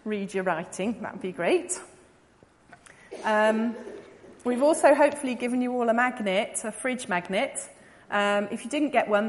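English woman speaking in a reverberant hall. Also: mid-range voice. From England. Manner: chatty, many ums, light laughing asides. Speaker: missionary speaker. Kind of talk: informal talk to a church audience. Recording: long reverb of a large hall.

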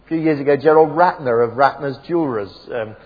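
A man gives a wry, conversational sermon, full of ums and self-corrections. His voice is a little husky, and it carries through the long reverb of a church PA system on a dull, lo-fi recording. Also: mid-range voice, fairly quick. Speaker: preacher. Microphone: PA microphone.

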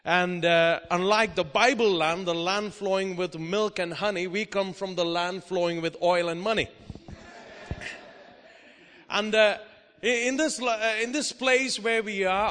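A man from India preaching a lively sermon, peppering his talk with amens and hallelujahs and laughing often. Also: high voice. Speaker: guest preacher. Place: church hall. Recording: live in a church with long reverb.

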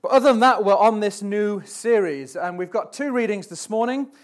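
A man's voice: high-pitched, moderate pace. Hesitant man preaching a sermon. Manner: self-deprecating, hesitant, many ums. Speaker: stand-in preacher. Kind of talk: church sermon with Bible reading. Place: church platform before a congregation.

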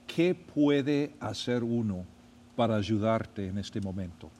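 A man, a pastor hosting the podcast, asking gentle pastoral questions in a casual conversation. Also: on the low side, expressive, slow pace, long reverb tail, a little hiss or hum. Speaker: pastor, host.

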